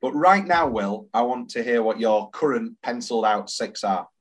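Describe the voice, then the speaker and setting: A man in casual podcast talk, eager and quick to quote lyrics. His voice sits at an ordinary middle pitch for a man. He chats loosely, with restarts and laughter in his speech. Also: expressive, husky. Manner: enthusiastic, quotes lyrics aloud. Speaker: podcast co-host. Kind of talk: casual chat about musicals.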